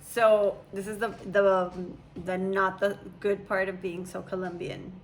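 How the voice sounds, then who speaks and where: Rambling, laughing young woman in a casual chat with her daughter, talking in a middle-pitched voice. There is a faint hiss of room noise behind her. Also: level tone, unhurried. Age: young adult. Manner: chatty, rambling, laughs often. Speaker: mother.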